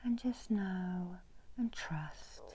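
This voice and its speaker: Very slow whispering woman, ASMR meditation. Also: lowish voice, animated, mellow, slight background noise. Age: middle-aged. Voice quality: breathy whisper. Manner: slow, soothing, with long pauses. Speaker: reiki healer leading a guided meditation. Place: small room indoors.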